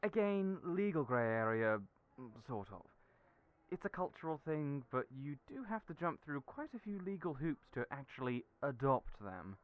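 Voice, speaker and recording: A man voicing a young friend who talks earnestly and encouragingly, raised in pitch from his own voice. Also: sing-song, normal speed, close to an everyday voice. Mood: explaining. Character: warm, reassuring friend, young adult. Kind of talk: audio roleplay acting. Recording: tinny phone-like audio.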